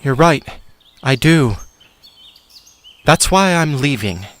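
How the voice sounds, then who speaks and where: A man's voice: medium pitch, expressive, slow pace. A man playing a wounded, frustrated son who yearns for love, in an audio drama. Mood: resolved.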